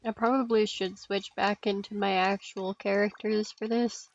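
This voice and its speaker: A woman chatting casually in a low-key way as she plays a game with someone. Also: flat delivery, moderate pace, dry recording, muffled recording. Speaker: co-player. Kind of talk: casual gameplay chat. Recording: clear background noise with clicks.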